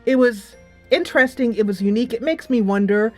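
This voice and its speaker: A woman's voice: on the high side, a little fast. A woman hosting a casual game review, talking in a chatty, loosely structured, emphatic way. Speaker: game reviewer and channel host. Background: music.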